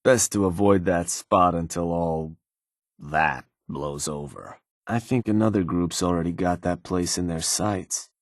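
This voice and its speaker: A man playing a gruff, shrewd raider strategist in a video game, with a husky voice and a laid-back, businesslike, colloquial delivery in clipped phrases. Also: low voice, unhurried, no room sound, clean studio sound. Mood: casual advising. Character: gruff, shrewd male raider strategist advising his gang boss. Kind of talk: video game character dialogue.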